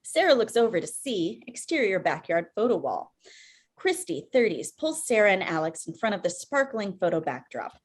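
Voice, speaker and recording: A woman's voice: mid-range voice, very animated, moderate pace, slightly rough. A woman reading scripted stage directions as narrator at a table read over video call.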